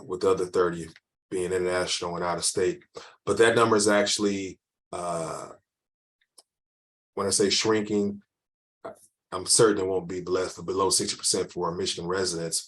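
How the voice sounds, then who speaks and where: A deep, low-pitched man answers questions from an online audience as an admissions counselor. He talks loosely, circling back and restarting his sentences with frequent fillers. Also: a bit bright. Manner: rambling, restarts often, many fillers. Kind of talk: informal webinar Q&A.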